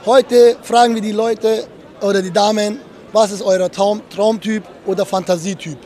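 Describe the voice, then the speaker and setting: A man's voice: high-pitched, level tone, moderate pace, dark tone. A young man doing a street interview outdoors at night with a handheld mic, asking casual questions and teasing the answers. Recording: outdoor street with music and crowd noise.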